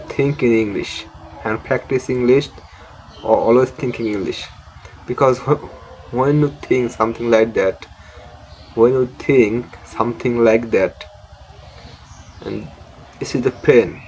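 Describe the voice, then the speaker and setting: A man's voice: medium pitch, a little mellow, a little hiss or hum, low-quality recording. A young man with a Bengali accent, a native Bengali speaker hosting a casual English lesson to camera, often stopping, repeating words and restarting sentences. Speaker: English learning channel host.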